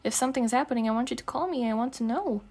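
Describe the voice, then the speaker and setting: A woman's voice: a little high, rapid-fire, a little mellow. A young woman speaking in a casual, intimate girlfriend roleplay, teasing, slangy and affectionate. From Eastern Europe. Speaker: ASMR roleplay performer playing a girlfriend.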